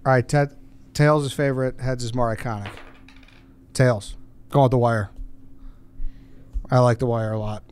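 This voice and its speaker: A man's voice: medium pitch, fairly even intonation, normal speed, long pauses, darkish tone, a little hiss or hum. A man co-hosting a casual podcast in a studio, rambling as he thinks aloud. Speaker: podcast co-host.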